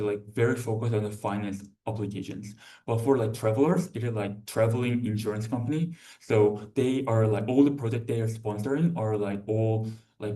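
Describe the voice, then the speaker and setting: A young man giving an informal student presentation, halting and repetitive, peppering his sentences with "like". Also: lowish voice, warm tone. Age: young adult. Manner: halting, repetitive, heavy use of "like". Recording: distant microphone.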